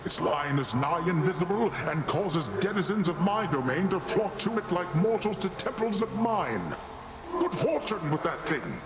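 A boisterous, boastful sea god from a video game. His grandiose, higher-pitched voice rings with echo over clear background noise. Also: animated, moderate pace. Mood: proud, jovial. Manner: grandiose, hearty. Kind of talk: video game character acting.

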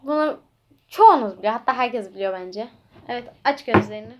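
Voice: medium pitch, lively intonation, a little fast. A young-sounding host casually chatting to viewers, prompting a co-player through a homemade guessing game. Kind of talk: casual playful chat to viewers.

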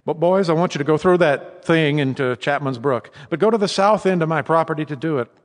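An actor playing a calm, practical farmer who speaks kindly but gives firm orders in a radio drama. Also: male voice, fairly high pitch, a little fast, natural voice. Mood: wary. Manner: kind but wary, commanding. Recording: reverberant radio drama recording.

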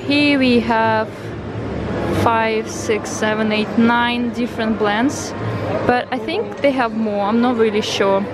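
A young woman from Ukraine with a slightly high voice talks in an unhurried, chatty way, calling her viewers "guys". She speaks English as a second language into a handheld camera held at arm's length. Loud music plays under her voice. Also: poor audio. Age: young adult. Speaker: vlogger. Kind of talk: casual vlog narration. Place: city streets and a restaurant, indoors and out.

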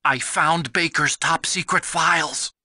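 An actor playing an eager young technical adviser in a video game, explaining things earnestly. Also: male voice, a little high, a little slow, bright, forceful tone, slight background noise. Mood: earnest, urgent. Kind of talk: expository video game voice acting.

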